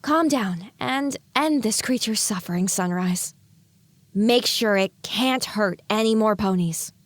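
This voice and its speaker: A woman playing a young female earth pony, anxious, sassy and excitable, voicing her inner thoughts in an audio drama. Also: medium pitch, unhurried, no echo, close to an everyday voice. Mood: uneasy. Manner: animated inner monologue.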